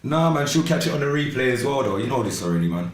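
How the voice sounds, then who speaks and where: Lively, jokey podcast host. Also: male voice, mid-range voice, fast. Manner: jokey, animated, slips into slang. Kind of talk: casual chat on a live podcast. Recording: echoey room with faint noise.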